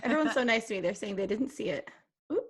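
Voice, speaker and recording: A woman co-hosting a live podcast, chatting casually with quick reactions and laughs. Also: fairly high pitch, lively intonation, husky. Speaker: podcast co-host. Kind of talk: casual chat.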